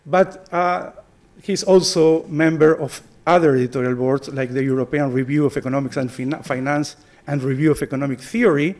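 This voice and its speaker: A man hosting an event, formally introducing a guest speaker in a halting, hesitant way. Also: a little high, fairly even intonation, a little mellow.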